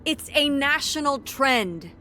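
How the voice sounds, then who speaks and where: A woman's voice: sits high, lively intonation, slow pace, pushed and bright, heavily acted. A woman playing a weary, exasperated middle-aged mother in a video game, speaking naturalistically and defensively, with some hesitation. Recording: clear background ambience noise.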